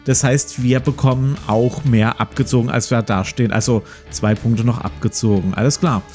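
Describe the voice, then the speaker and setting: A man's voice: mid-range voice, steady tone, normal speed, darkish tone. Man reading a gamebook over guitar music. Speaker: let's play host. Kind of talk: gamebook read-aloud with casual commentary. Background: plucked guitar music.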